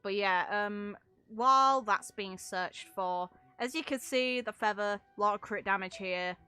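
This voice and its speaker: Bright-voiced woman streaming a game. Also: medium pitch, a little slow, clean tone, soft background music. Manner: rambling, explanatory, casually sweary. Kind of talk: casual livestream commentary on a game.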